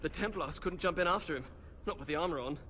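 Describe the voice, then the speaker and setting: A man's voice: fairly high pitch, fast, bright, forceful tone, a little hiss or hum. An actor playing a bookish young male mage in a video game, grumbling with dry humor about the outdoors. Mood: wry storytelling.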